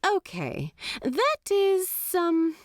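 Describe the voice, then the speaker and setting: A woman's voice: extremely high pitch, wildly swooping pitch, very slow, no room sound, clean studio sound, pitched above the performer's own voice, exaggerated voice. A woman voicing an earnest, easily indignant male angel in a story, with a hesitant, fussy, stammering manner. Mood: flustered.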